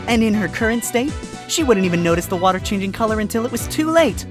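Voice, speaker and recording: A woman's voice: medium pitch, animated, fast talker, over music, lightly acted. A woman voicing a sly, sarcastic male fox defense lawyer arguing in court.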